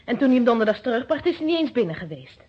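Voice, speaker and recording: A woman's voice: on the high side, big pitch swings, very fast, slightly rough. A young woman playing a wary, flustered housekeeper in a radio drama, hesitant and defensive but chatty. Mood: nervous. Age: young adult. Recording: dull muffled old radio drama recording.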